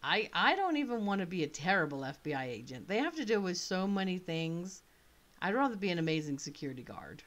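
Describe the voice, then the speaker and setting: Woman, slight British accent, chatty, thinks out loud, lowish voice, webcam in a small room. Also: sing-song, brisk. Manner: chatty, thinks aloud with many asides. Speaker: author playing a choice game. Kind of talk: casual commentary.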